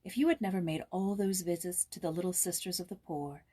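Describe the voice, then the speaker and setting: A woman's voice: on the low side, fairly even intonation, brisk, warm tone, breathy edge. A woman voicing a tender, affectionate grown-up female cousin in a children's storybook reading.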